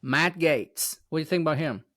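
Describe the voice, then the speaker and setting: A man's voice: a little high, brisk, fairly bright tone, no room sound, studio quality. A man hosting an interview show, asking questions with frequent stumbles and self-corrections. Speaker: host. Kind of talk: casual interview.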